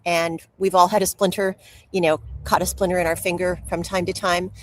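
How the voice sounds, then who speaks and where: A woman with a slightly low-pitched voice answers as an interview guest. She tells personal stories candidly and openly, like a writer happy to share everything. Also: flat delivery, a little fast, poor audio. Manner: candid, open oversharer.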